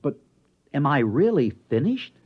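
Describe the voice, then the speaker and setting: A man's voice: on the high side, very animated, unhurried, slightly rough, full character voice. A man playing an ambitious, anxious and smug office schemer in an old radio drama, theatrical and emphatic. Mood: shocked, disbelieving. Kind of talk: old-time radio drama acting and first-person narration. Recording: dull vintage radio recording, music behind.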